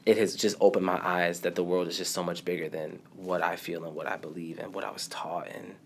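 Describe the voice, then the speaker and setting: A man, a podcast guest, speaking in a casual interview with animated storytelling that often laughs and trails off quietly. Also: deep voice, brisk, vocal fry, slight background noise.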